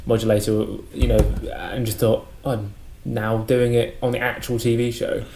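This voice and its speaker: A man's voice: medium pitch, little pitch movement, normal speed, warm tone. A man hosting a podcast in a casual, enthusiastic way. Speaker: podcast host. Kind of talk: casual chat. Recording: roomy echo.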